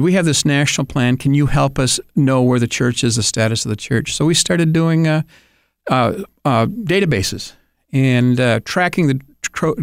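A man's voice: mid-range voice, dry recording, clean studio sound. A man who grew up in Thailand, a guest telling his story in plain, earnest talk about church research.

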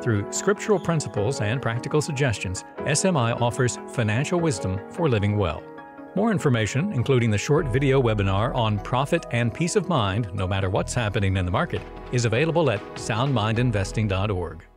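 Male announcer, medium pitch, scripted promotional narration over music bed. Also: animated, brisk. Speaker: sponsor announcer.

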